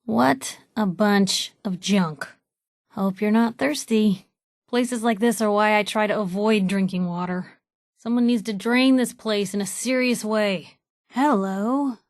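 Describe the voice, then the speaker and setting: A woman's voice: mid-range voice, takes it slowly, no room sound, clean studio sound. An actress playing a nosy, wisecracking young woman newspaper reporter as a video game companion, wry and sarcastic in manner. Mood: wry, complaining.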